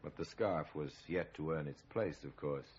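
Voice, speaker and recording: Deep, husky, sardonic crime narrator. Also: male voice, darkish tone. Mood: grave, ominous. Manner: measured, ironic, ominous storytelling. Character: grave male narrator of a true-crime series, sardonic. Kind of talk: 1950s radio crime drama narration, dramatic. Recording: muffled vintage radio recording.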